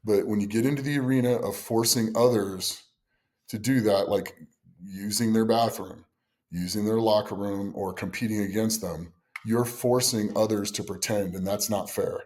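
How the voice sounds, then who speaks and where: A man hosting a casual podcast chat, with a loud voice and an emphatic, excitable way of telling stories. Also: on the low side, moderate pace. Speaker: podcast host and strongman judge.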